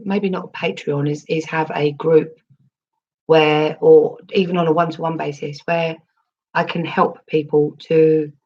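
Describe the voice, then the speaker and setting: A woman with a husky, low voice, still hoarse after losing her voice, rambles through a casual livestream. She reads her chat aloud and answers in a chatty, meandering way, full of ums and restarts. The sound is slightly dull and lo-fi. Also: a little mellow. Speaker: crypto livestream host reading chat.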